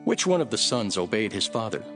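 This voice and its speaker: A calm male prophet and teacher who speaks with authority in a scripture drama. His voice sits fairly low yet moves expressively, with soft music behind it. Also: a little fast. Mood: instructive.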